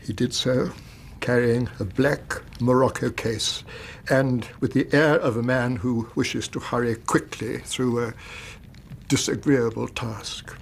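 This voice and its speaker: A troubled Victorian English banker of about fifty for radio. His creaky, gravelly voice is formal, halting and pompous, over a faint rumble of noise. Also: steady tone. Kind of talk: radio drama acting.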